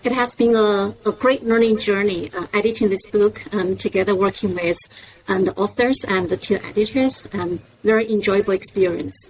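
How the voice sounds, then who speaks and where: A woman's voice: mid-range voice, normal speed, slight background noise, low-quality recording. A woman introducing herself as an editor over a video call, hesitant with many ums. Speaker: book editor. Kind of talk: casual self-introduction.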